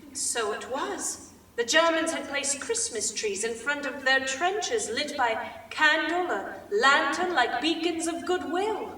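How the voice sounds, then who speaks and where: Bright-voiced woman reading as a soldier writing home. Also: high-pitched, animated, unhurried, heavily acted. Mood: amazed. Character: bright, hopeful British soldier writing to a sister. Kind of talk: reader's theater storytelling. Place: large indoor theater stage. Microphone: far camera. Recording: echoey theater stage, distant, faint noise.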